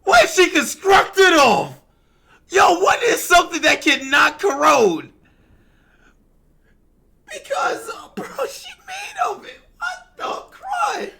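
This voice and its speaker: Very animated, bright-voiced gaming streamer. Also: very high-pitched, takes it slowly, vocal fry. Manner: slangy, exclaiming, animated. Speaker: livestreamer reacting to a game. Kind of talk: casual gaming reaction commentary.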